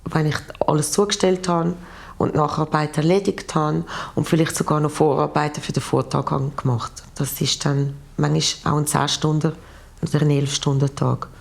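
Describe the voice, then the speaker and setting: A woman in her forties with a Swiss German accent, a postal worker speaking in a measured, matter-of-fact way in a documentary interview. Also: deep voice, little pitch movement, gravelly. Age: 40s.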